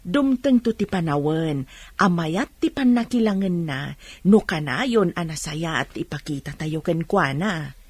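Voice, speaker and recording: A woman playing a patient, forgiving village mother in a radio drama, with a husky voice and an Ilocano accent. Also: on the low side, expressive, a little slow, a little mellow, no echo. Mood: gentle, advising.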